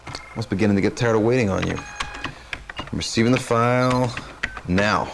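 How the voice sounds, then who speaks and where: An actor playing a cocky young hacker and extraction specialist in a video game, slangy and wry. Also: male voice, on the low side, normal speed, gravelly, noisy background. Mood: impatient, focused. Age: young adult. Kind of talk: video game cutscene acting.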